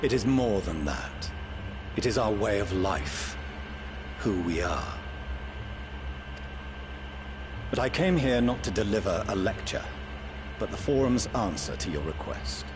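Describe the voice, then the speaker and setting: A man's voice: fairly low pitch, big pitch swings. An actor playing a stern, proud middle-aged statesman and father in a fantasy game, speaking formally and loftily. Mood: firm, solemn. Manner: formal, measured, lofty diction. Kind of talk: dramatic fantasy game acting. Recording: orchestral music behind the voice.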